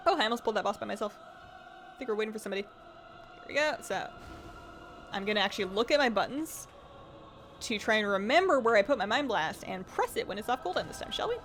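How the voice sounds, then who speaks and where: Woman, game streamer, casual rambling chat, slightly strained voice, mid-pitched, faint music behind. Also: expressive, fast, pauses often, a bit bright. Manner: chatty, rambling, reads viewer comments aloud. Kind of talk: casual livestream chat while gaming.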